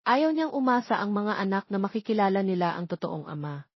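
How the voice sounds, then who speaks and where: A woman's voice: medium pitch, very animated, brisk, husky, no room sound, muffled recording. A woman reading scripted story narration as the narrator of an audio romance.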